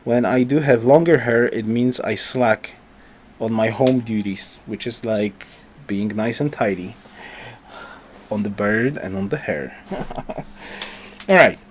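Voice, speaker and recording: A man thinking aloud as he commentates a strategy game, hoarse because he is losing his voice, rambling and restarting his sentences. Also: on the low side, slow pace, long pauses, a little hiss or hum. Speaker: gameplay commentator. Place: home.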